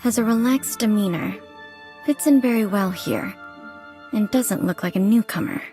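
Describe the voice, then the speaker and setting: A poised female investigator played by a woman in a story-driven game. She speaks in a measured, polite and analytical way, at a medium pitch, with music clearly playing behind her. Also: lively intonation, dark tone, close to an everyday voice. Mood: reflective, analytical. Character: poised observant female reporter and investigator in disguise. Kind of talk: scripted game narration and dialogue.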